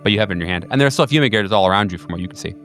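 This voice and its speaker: A man's voice: lowish voice, lively intonation, rapid-fire, a bit bright, faint music behind. A man narrating as game master of a horror tabletop game, rambling with fillers and restarts.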